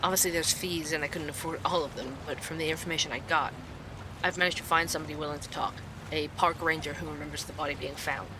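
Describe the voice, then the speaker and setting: A man's voice: on the high side, fairly even intonation, fast, noisy background, close to an everyday voice. An actor playing a curious male investigative podcaster, who narrates and probes with questions. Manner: inquisitive, probing.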